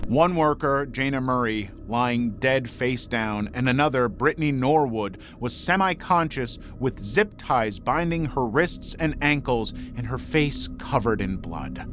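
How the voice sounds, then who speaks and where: A man reading scripted true crime narration in a measured, dramatic way with deliberate pauses. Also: mid-range voice. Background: background music.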